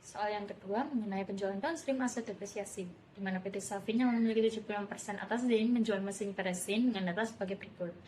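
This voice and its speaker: A young woman reads out an exercise for a student presentation. Her delivery is quick and steady, at a middling pitch. There is faint noise behind her. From Indonesia. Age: young adult. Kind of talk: reading a problem aloud for a presentation.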